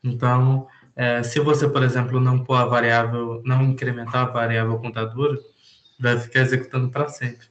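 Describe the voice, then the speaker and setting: A man explaining a programming point to students, pausing often with fillers. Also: mid-range voice, level tone, roomy sound, lo-fi sound. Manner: halting, many "é" fillers while explaining. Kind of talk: informal programming lesson.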